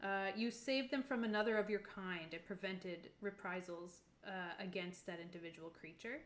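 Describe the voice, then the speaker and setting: A woman with a mid-range voice talks casually with a group about a tabletop game, sometimes reading options out loud. The room around her gives a noticeable echo. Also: medium pitch, normal speed. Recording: roomy, echoing room sound.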